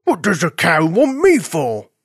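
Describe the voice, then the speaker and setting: A woman voicing a bored, good-natured male farm dog in a children's story, with a slow, drawn-out delivery. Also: fairly low pitch, huge pitch swings, husky, dry recording, clean studio sound, voice pushed up, full character voice. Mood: startled, puzzled.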